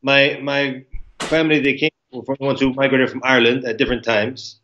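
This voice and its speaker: Fast-talking American man on a muffled video call. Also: mid-range voice, little pitch movement, bright, forceful tone, a little hiss or hum. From United States (Virginia). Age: 40s. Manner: talkative, frequent restarts and fillers, Arabic religious phrases. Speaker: guest speaker, Islamic lecturer. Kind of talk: live online interview. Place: indoor room, plain wall. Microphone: video call webcam.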